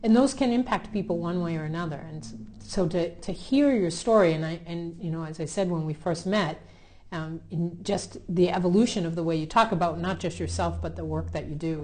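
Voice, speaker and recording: A woman's voice: fairly low pitch, moderate pace, warm tone, slight background noise. A woman hosting a conversational interview, speaking in an affirming, reflective way as a religious sister.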